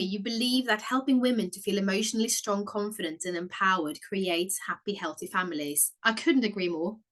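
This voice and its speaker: A woman's voice: mid-range voice, fairly bright tone. Casting: female podcast host, Norwegian accent, at ease with both scripted intros and chat.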